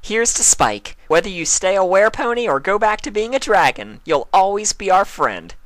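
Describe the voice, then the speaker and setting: A bubbly young mare from a cartoon fan story, acted out by a man. The voice sits high and swings up and down with girlish, gushing excitement. It is recorded close and dry. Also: normal speed, no echo. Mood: cheerful. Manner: gushing, exaggerated girlish delivery. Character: bubbly, hyper, pleading young female party pony.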